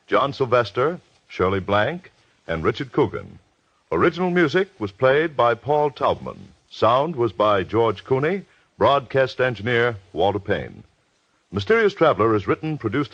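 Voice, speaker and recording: A man's voice: medium pitch, fairly bright tone, no echo. A man announcing formally in the style of 1940s radio. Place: radio studio. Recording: dull vintage radio broadcast recording. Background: music.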